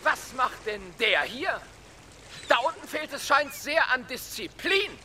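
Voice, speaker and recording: Sharp, high-pitched barking ship's officer. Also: male voice, sing-song, a little slow, a little hiss or hum, heavily acted. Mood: barking, contemptuous. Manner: curt, commanding. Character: brusque, barking officer ordering people about. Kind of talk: German radio play acting.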